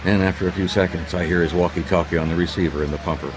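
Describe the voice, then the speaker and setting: A man's voice: low-pitched, fairly even intonation, racing, music in the background, natural voice. An actor playing a world-weary male private detective in a noir radio drama, dry and probing. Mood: narrating. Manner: dry, probing, hesitant coaxing.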